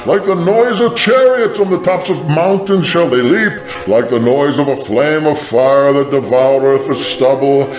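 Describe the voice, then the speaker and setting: A man voicing a biblical prophet proclaiming doom, declaiming scripture in a grand, ominous manner. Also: mid-range voice. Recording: echoing voice over music. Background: music.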